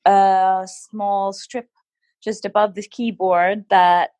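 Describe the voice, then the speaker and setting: A woman's voice: mid-range voice, little pitch movement, slow, a little mellow, no echo. Canadian woman explaining in casual chat. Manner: self-deprecating, laughs often, explains patiently. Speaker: interview guest.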